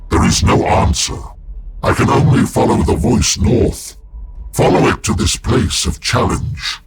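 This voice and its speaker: An actor playing an ancient warrior-psyker sealed in a war machine, speaking formally and solemnly as the game's narrator. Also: male voice, measured pace, heavy background noise, lightly acted. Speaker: librarian, narrator. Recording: with loud game music behind.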